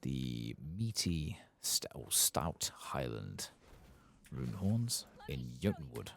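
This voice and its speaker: A man giving soft-spoken ASMR commentary over a video game, murmuring and sometimes slipping toward a whisper. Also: rumbling low, big pitch swings, slow. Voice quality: soft, husky, gravelly. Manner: murmuring to himself, partly whispering.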